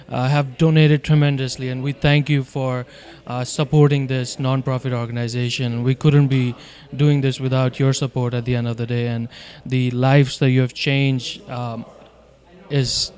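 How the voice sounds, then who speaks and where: A man's voice: mid-range voice, a little mellow. Rambling young volunteer host, man. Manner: friendly, rambling, with many fillers. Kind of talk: hosting an event segment.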